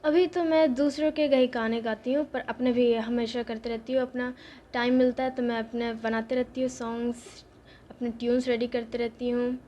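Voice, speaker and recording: A teenage girl from Bodh Gaya, a young singer, answering interview questions, switching between Hindi and English and speaking slowly and carefully in English. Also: on the high side, level tone, dark tone, lo-fi sound, slight background noise. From Bodh Gaya, India.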